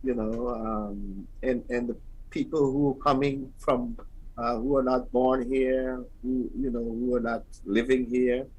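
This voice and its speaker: A man with a Guyanese accent in a radio discussion, talking in a halting, measured way. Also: mid-range voice, steady tone, slightly rough, rough recording.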